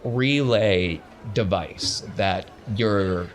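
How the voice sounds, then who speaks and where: A man's voice: fairly low pitch, extremely slow. Encouraging male game master, some background noise. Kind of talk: running a tabletop role-playing game.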